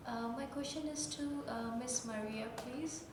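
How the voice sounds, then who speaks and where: A woman's voice: a little high, measured pace. A young woman student from India, asking a polite, eager question at a panel. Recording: echoey hall, distant mic, background noise.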